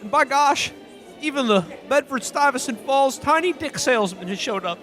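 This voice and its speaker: A man's voice: very high voice, animated, moderate pace, crowd noise. A man playing an overjoyed, sentimental hero in a melodramatic Christmas movie parody. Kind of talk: old Hollywood melodrama radio play. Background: loud music.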